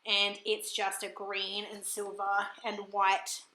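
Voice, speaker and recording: Husky, bright-voiced Australian woman. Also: medium pitch, completely flat delivery, slow, roomy sound, poor audio. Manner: chatty, rambling, apologetic. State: hoarse, throat croaky. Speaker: planner vlogger. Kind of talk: casual voiceover chat. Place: home.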